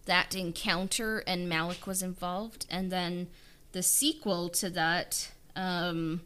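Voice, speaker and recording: Woman, unhurried pace, smooth clear voice, casual interview answers, hesitant phrasing. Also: fairly low pitch, steady tone, pushed and bright. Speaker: podcast co-host, audio drama writer being interviewed.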